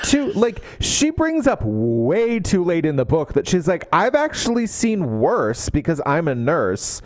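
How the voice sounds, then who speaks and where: A man talks through a book as a podcast co-host in a very animated voice that swoops around. He is sarcastic and casual, slipping into mocking versions of the characters. The sound is dry and close, with no room on it. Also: on the high side, no room sound. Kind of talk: casual comedic book recap.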